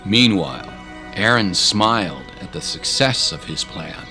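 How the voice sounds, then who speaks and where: A man reading scripted dramatic narration of a fantasy adventure in a measured, deliberate way with pauses for effect. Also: low voice, animated, sharp tone, faint music behind. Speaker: storyteller, narrator.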